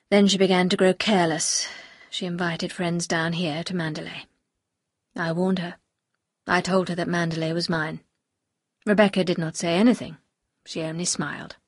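A woman voicing a bitter, anguished upper-class husband in an audiobook, speaking gravely and confessionally. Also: on the low side, dry recording, clean studio sound, voice pushed down. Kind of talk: audiobook character dialogue.

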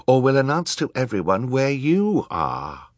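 A man's voice: medium pitch, wildly swooping pitch, measured pace, no room sound, studio quality, voice pushed up. A man voicing a resolute, defiant young girl in an audiobook, firm and challenging. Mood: threatening. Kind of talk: audiobook character acting.

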